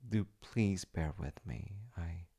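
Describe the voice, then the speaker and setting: A man playing a polite, eager wizard fiance in an ASMR audio roleplay, with theatrical delivery and soft muttered asides. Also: low-pitched, slow pace, creaky, slightly rough, exaggerated voice. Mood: earnest, delighted. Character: eager, secretly magical wizard fiance running an enchantment business.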